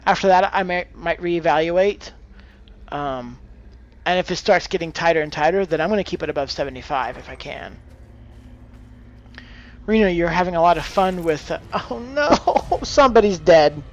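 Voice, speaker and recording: A man's voice: fairly high pitch, moderate pace, pauses often, highs cut off. A man giving casual live gaming commentary and tips, thinking aloud as he plays. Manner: hesitant, thinking aloud, exclaiming at the game. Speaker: game streamer.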